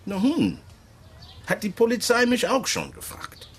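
A man's voice: high voice, huge pitch swings, a little slow, gravelly, slightly rough, full character voice. An actor playing a wary, evasive working-class man with folksy, ungrammatical speech in a German radio drama. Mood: wary, stubborn.

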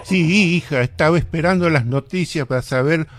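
A man's voice: fairly high pitch, normal speed, slight background noise, close to an everyday voice. Man acting meek old Argentine grandfather. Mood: meek, compliant. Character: old pensioner grandfather, meek, attached to home. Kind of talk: amateur student radio drama acting.

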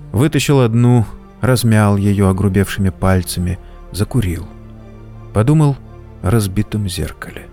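Mellow male storyteller over faint music. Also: medium pitch. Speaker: narrator of an audio drama. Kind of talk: scripted dramatic narration.

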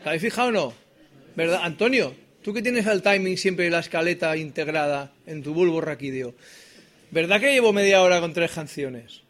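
A man's voice: a little high, brisk, fairly bright tone. A middle-aged man with an accent from Spain does comic stage banter between songs, rambling and self-deprecating, often checking in with his listeners. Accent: Spain Spanish. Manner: rambling, self-deprecating, asks the audience tag questions. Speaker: singer-songwriter and comedian performing live. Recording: live performance with acoustic guitar. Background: acoustic guitar.

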